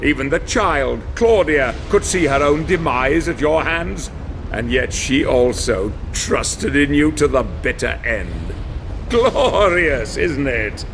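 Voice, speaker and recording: Game cutscene villain: older treacherous sorcerer, theatrical and gloating, creaky voice, very measured pace. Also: male voice, medium pitch, very animated, a bit bright, a little hiss or hum, heavily acted. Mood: cruel, triumphant. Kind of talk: dramatic video game cutscene acting.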